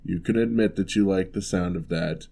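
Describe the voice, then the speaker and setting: A man playing a huge, gentle, courteous giant in an ASMR roleplay, speaking softly with wry, teasing, old-fashioned politeness. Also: sits low, creaky. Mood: warm, teasing. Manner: unhurried, soft-spoken, old-fashioned courteous phrasing.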